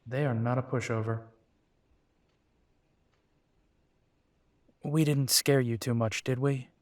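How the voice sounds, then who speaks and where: A man's voice: medium pitch, steady tone, normal speed, darkish tone, no echo, clean studio sound, close to an everyday voice. A man playing a young, protective and determined werewolf in an audio roleplay, speaking earnestly. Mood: teasing, fond.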